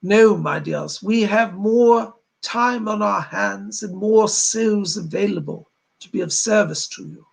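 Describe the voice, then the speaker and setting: A man channels a solemn, benevolent male spirit guide. The voice sits unusually high for a man and is husky, with a creaky edge. He speaks in formal, old-fashioned phrases, like a preacher at a séance. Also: slow, full character voice. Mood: benevolent, reassuring. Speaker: trance medium speaking as a spirit. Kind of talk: séance address, sermon-like.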